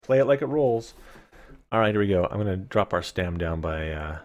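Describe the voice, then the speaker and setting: A man's voice: low voice, expressive, normal speed, muffled recording. Playful man reading a gamebook live. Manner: playful, informal, dramatic when reading. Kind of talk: live storytelling read-aloud with casual asides.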